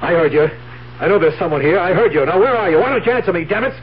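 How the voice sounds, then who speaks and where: An alarmed husband in an old radio play, urgent and demanding. His high voice swings widely, and the recording is muffled and noisy. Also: lively intonation, fast. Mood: agitated. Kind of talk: 1970s radio drama acting.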